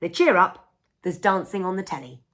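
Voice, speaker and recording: A woman voicing a kindly, encouraging father in an expressive picture-book read-aloud. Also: on the low side, moderate pace. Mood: consoling. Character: kindly, encouraging dad of a young girl.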